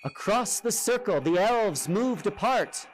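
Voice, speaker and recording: A man reading a children's storybook aloud in an expressive, dramatic way. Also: high-pitched, unhurried. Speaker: storybook reader. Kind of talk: scripted children's storybook narration. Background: music and sound effects.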